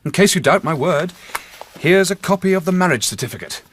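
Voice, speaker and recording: A man's voice: sits high, animated, a little fast, fairly bright tone, slight background noise. An actor playing a confident amateur detective in a radio drama, dramatic and eloquent as he tells the tale. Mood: assured. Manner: dramatic, eloquent, assured storyteller. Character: theatrical, confident amateur detective revealing a mystery. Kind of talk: period radio drama acting.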